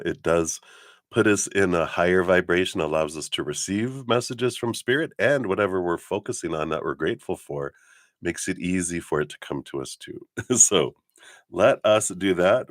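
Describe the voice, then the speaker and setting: A man with a very deep voice hosts a casual spiritual livestream in a close, dry sound. He talks in a giddy, effusive manner, easing between friendly chat and gentle meditation guidance. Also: no echo. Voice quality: low morning voice. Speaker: live stream spiritual reader and host.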